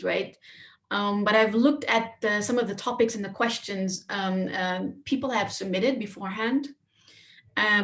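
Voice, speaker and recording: A woman in her thirties from Austria, speaking softly and carefully on a panel with many fillers. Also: mid-range voice, gravelly. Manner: soft-spoken, careful, with fillers. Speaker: panelist, academic. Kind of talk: panel talk.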